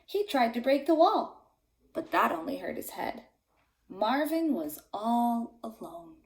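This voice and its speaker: Very animated woman reading to young children. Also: fairly high pitch, takes it slowly, echoey room. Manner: simple, emphatic phrasing for small children. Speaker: teacher reading aloud to children. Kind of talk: expressive storybook read-aloud for young children.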